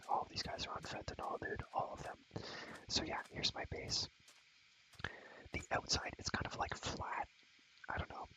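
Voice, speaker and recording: A man's voice: deep voice, poor audio. Whispering young man, ASMR game commentary. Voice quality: breathy, unvoiced whisper. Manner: repeats phrases over and over, playful slangy asides. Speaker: ASMR gaming creator.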